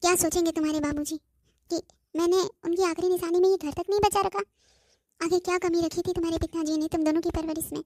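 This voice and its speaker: Plaintive, high-voiced widowed mother acted by a woman. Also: a little mellow, no echo, lightly acted. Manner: plaintive, lamenting. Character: sorrowful widowed mother of grown children, wounded, gentle.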